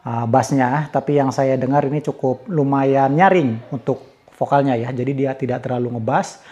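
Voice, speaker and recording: Mellow-voiced man reviewing gear. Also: mid-range voice, moderate pace, lo-fi sound. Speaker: product reviewer. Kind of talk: casual spoken product review and audio demo.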